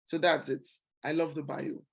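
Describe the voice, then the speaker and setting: Lower-pitched woman hosting a book club. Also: fairly even intonation, moderate pace, creaky, husky. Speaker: book club founder and host. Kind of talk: casual book club video call hosting.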